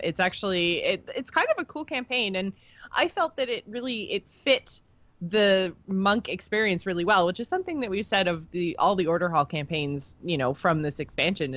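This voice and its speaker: Low-pitched woman chatting, slightly dull recording. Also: a bit bright, no echo. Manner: chatty, informal, enthusiastic. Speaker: podcast co-host. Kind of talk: casual discussion of video game lore.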